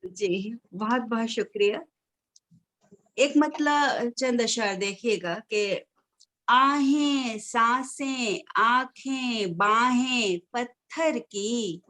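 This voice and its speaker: A woman poet reciting Urdu verse at a mushaira over a video call, repeating each line with measured delivery, her voice a little hoarse from a sore throat. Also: on the high side, a bit bright. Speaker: guest poet.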